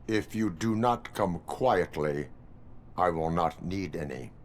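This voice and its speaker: An actor playing a stoic cyborg police officer in a video game, speaking in a deadpan, formal, clipped way. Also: male voice, deep voice, takes it slowly, slight background noise. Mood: stern, commanding.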